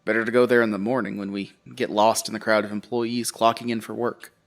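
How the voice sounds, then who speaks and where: An actor playing a weary, uneasy survivor who tells his story in a halting, confessional way for an audio drama. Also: lowish voice, level tone, close to an everyday voice. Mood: weary, reflective. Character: weary, uneasy male survivor recounting a horror ordeal. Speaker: narrator and lead character. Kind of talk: audio drama first-person narration.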